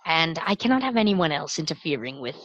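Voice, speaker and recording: A woman voicing a proud, bitter androgynous half-elf villain in a tabletop game, speaking theatrically with deliberate pauses and breathy dips into whispers. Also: sits low, sing-song, a bit bright, no echo, lo-fi sound, full character voice. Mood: bitter, impassioned. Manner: slow deliberate pauses, disdainful monologuing.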